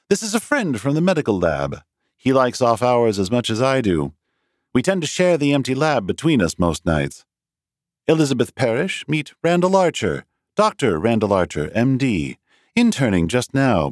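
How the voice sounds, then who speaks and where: A man doing a breezy, well-off young gentleman. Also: medium pitch, very animated, normal speed, dry recording, studio quality, voice pushed up. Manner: breezy, talkative, slightly formal. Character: confident well-off young male researcher. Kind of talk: audiobook character reading.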